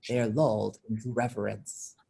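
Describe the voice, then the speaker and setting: A woman's voice: very deep voice, little pitch movement, moderate pace, vocal fry, warm tone, breathy edge, rough recording. A woman narrating a staged reading and moderating its informal question session. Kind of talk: reading stage directions, then informal audience Q&A.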